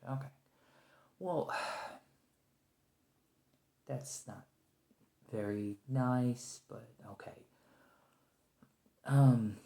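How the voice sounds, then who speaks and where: Man acting a halting, nervous agent, roomy echo. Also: mid-range voice. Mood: wary, tentative. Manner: hesitant, halting, stammering, soft-spoken. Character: nervous, conciliatory special agent trapped by an anomalous creature. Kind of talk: ASMR roleplay, one-sided point-of-view dialogue.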